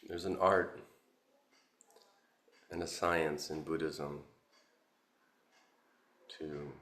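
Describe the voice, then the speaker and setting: A man guiding a meditation as a Buddhist teacher, contemplative and halting, with long pauses between short phrases. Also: extremely low pitch, steady tone, fairly bright tone. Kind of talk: guided meditation, Buddhist dharma talk. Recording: roomy, echoing sound.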